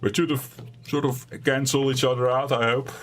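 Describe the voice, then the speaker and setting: A man with a raspy voice streaming a survival game from home, chatting casually and rambling in a self-deprecating way. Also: mid-range voice, little pitch movement, normal speed, fairly bright tone. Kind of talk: casual let's-play commentary.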